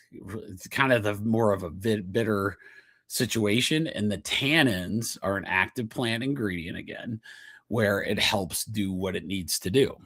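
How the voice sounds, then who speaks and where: Middle-aged male podcast host, nasal and slightly husky, casual rambling health talk. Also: lowish voice, fairly bright tone, dry recording, clean studio sound. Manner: chatty, digressive, laughs often, checks in with "okay?".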